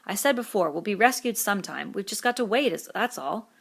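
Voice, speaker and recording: A woman's voice: medium pitch, animated, a little fast, creaky, pitched above the performer's own voice. A woman voicing an earnest, firm boy who leads the group. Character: earnest, responsible schoolboy chief.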